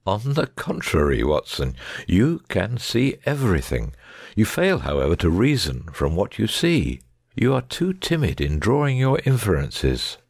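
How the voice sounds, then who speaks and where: Gentleman detective for an audiobook , acted by a man: very low, animated, assured and precise, lecturing his friend. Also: a little slow, vocal fry, slightly rough, no room sound, studio quality, exaggerated voice. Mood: assured, didactic. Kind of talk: dramatic audiobook reading.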